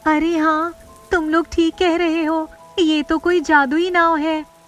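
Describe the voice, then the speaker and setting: An eager little schoolboy with a high-pitched voice, put on by a woman. He sounds reassuring, like the leader of his friends in a cartoon tale, with music behind him. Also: little pitch movement, slow, a bit bright, full character voice.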